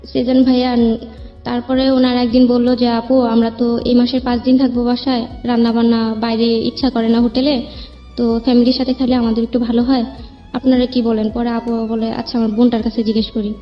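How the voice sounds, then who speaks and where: A woman with a fairly high voice and a Bangladeshi accent recounts her own life as an interview guest. She speaks in a plain, rambling way and acts out reported dialogue. The sound is slightly dull, with music playing behind her. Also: little pitch movement, a little fast. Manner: rambling, plain-spoken narration with quoted dialogue. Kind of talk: personal storytelling interview. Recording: band-limited dull sound with background music.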